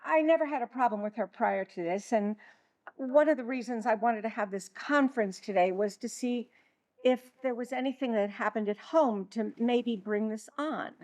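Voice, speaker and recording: A woman playing an earnest, flustered schoolteacher in a stage play, speaking diplomatically with halting repetitions. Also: fairly high pitch, a little mellow, close to an everyday voice. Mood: aggrieved, careful. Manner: hesitant, stammering, diplomatic.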